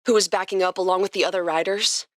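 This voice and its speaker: A woman hosting a podcast and reading a story aloud as expressive scripted narration. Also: lowish voice, fast, no echo, clean studio sound. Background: music.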